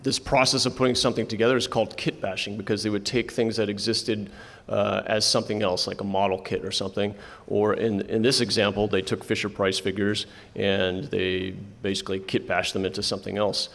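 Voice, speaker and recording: A man's voice: on the low side, level tone, a little fast, creaky, a bit bright. A man moderating a convention panel presentation, talking conversationally and handing off to co-presenters. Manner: conversational, lots of restarts, hands off to co-presenters. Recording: reverberant hall.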